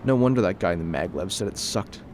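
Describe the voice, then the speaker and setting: A man's voice: low-pitched, expressive, fast. A man playing a bitter, world-weary former soldier bent on revenge, brooding in an audio drama. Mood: sardonic. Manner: brooding, monologuing. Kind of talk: audio drama narration and dialogue. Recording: loud background noise, vehicle ambience.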